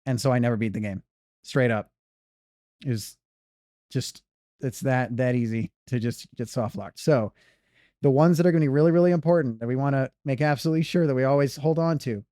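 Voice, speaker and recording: A man's voice: medium pitch, a little fast, warm tone, dry recording, studio quality. A man giving casual gameplay commentary as a speedrun streamer, rambling and correcting himself. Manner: rambling, self-correcting banter.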